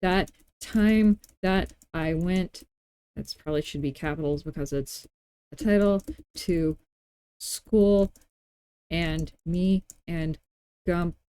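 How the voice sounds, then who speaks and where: A woman with a relaxed, mellow and slightly low voice leads a casual tutorial at an unhurried pace. She thinks out loud as she works and slips in playful, self-deprecating asides. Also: smooth, poor audio. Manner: informal thinking aloud while working. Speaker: tutorial host. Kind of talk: casual screen-recorded software tutorial narration.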